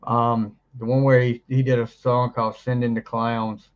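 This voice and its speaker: Rambling man host, hoarse from flu. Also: medium pitch, level tone, normal speed, lo-fi sound. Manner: rambling, laughs often, trails off mid-sentence. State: recovering from flu, voice deeper than usual. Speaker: podcast co-host. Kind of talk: casual chat.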